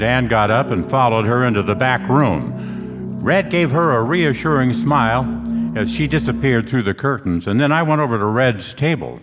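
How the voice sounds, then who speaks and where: A wry, tough private detective played by a man from a hard-boiled radio play. His clipped, slangy delivery moves with animated pitch. He sounds out across an echoing hall, with faint music behind. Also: close to an everyday voice. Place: stage in a hall.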